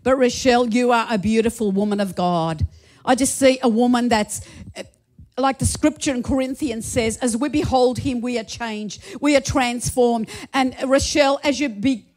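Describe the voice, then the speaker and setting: A middle-aged woman delivering prophetic words over church members in a small church hall. She holds a handheld microphone and speaks fervently, with many repetitions, and at times rises to shouts of praise. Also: sits high. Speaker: prophetic minister. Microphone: handheld mic.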